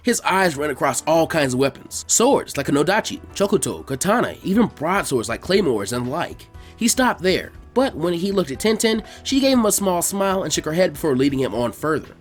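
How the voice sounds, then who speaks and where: Guy reading fanfic, expressive, pitch moves around a lot, soft music behind. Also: fairly quick, hardly any pauses. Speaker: fan fiction narrator. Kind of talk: expressive scripted story narration.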